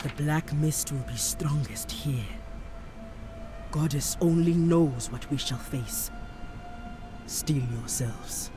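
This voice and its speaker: Solemn, slow-spoken spiritual guide. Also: male voice, a little high, music in the background. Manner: solemn, measured. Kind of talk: video game character acting.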